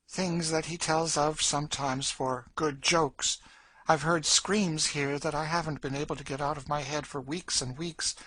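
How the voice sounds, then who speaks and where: A world-weary woman, played by a male reader, speaks with bitter, fatalistic emphasis in a raised, forceful voice. She is a despairing audiobook character, dry in manner, heard close with no room sound. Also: a little high, dry recording, voice pushed up, poor audio.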